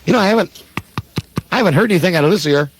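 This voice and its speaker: A man's voice: high-pitched, animated, very fast, slight background noise. A man playing a bewildered, exasperated family man in a comic radio play, folksy and stammering. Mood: amazed, folksy.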